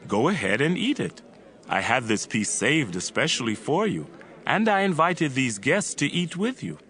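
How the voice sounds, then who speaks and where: An actor playing a calm, authoritative male prophet in dramatized scripture, assured and hospitable. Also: mid-range voice, moderate pace.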